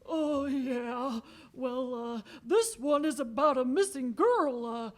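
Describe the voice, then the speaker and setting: Dim bumbling 40s cop, really high voice, slow and halting, live radio play. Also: female voice. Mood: sheepish. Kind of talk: 1940s radio play acting. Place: theatre stage before a studio audience.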